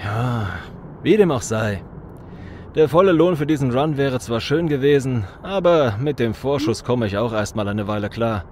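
Cyberpunk audio drama: wary, devout male mage, sardonic grumbler, medium pitch, played by a man. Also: expressive, normal speed, darkish tone, natural voice. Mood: grumbling. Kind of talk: fan audio drama acting. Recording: constant vehicle and street noise.

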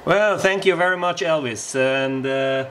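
A man's voice: a little high, measured pace, heavy background noise. A man making a casual amateur radio contact, speaking politely and using radio procedure words.

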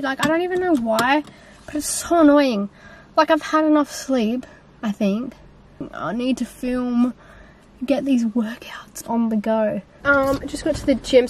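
A woman's voice: on the high side, background noise. A young woman chatting casually to a handheld camera in a small room at home. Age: young adult. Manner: chatty, quick, trailing into quiet asides. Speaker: vlogger. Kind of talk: casual vlog chat to camera. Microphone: handheld camera close to face.

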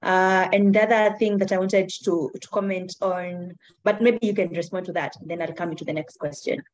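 A woman's voice: fairly low pitch, steady tone, moderate pace. A young adult woman hosting a webinar, putting a thoughtful question to the guest over a video call and searching for her words. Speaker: webinar host.

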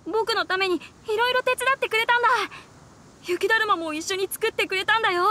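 Very high, bright little boy. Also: quick pace. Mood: excited, happy. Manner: childlike, excited. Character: small cheerful boy, eager and affectionate. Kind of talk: Japanese game voice acting.

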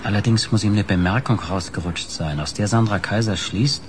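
A man's voice: lowish voice, fairly quick, lightly acted. An actor playing a chatty, teasing male informant of a detective in a German radio crime drama, wry and gossipy. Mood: confiding. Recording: clear background noise.

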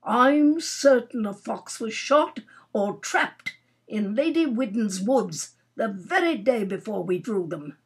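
A gloomy Major grumbling over tea in a country-house storybook, as a woman narrator acts him out, slightly husky, in slow complaint. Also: fairly high pitch, animated, exaggerated voice. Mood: moody. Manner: moody, grumbling. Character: morose, moody male Major, keen fox hunter.

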